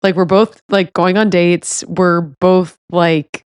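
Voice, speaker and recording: A woman's voice: on the low side, steady tone, takes it slowly, clean tone, dry recording. Chatty young woman podcast co-host. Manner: rambling, heavy use of "like". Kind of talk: casual chat.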